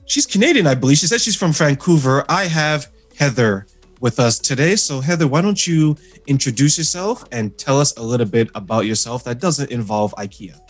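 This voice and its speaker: A man hosts a podcast over a video call, his voice at a middle pitch. He talks in a relaxed, conversational way and chuckles often, with faint music in the background. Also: moderate pace. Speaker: podcast host. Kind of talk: casual interview chat.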